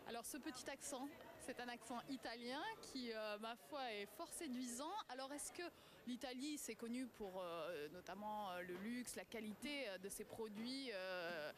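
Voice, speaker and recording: A woman reporter interviewing on location at an event, chatty and pausing often as she forms her questions. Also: a little high. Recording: crowded event, clear crowd noise. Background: crowd at event.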